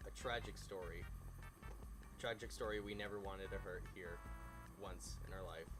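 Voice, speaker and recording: A man's voice: sits low, moderate pace. A man reading a story aloud with joking asides, stumbling over words as he goes. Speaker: host reading fan fiction aloud. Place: outdoors by a fireside at night. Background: music.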